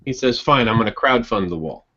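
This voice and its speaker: A man's voice: mid-range voice, fairly quick, pushed and bright. A man in a casual group political chat, rambling, repeating phrases and acting out imagined dialogue. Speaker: podcast panelist. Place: group internet voice call. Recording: dull lo-fi online voice call.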